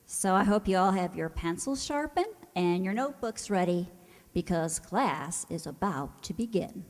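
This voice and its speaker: A woman's voice: on the low side, lively intonation, moderate pace. A woman presenter giving an informal spoken introduction to an audience. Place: hall, before an audience. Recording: echoey hall.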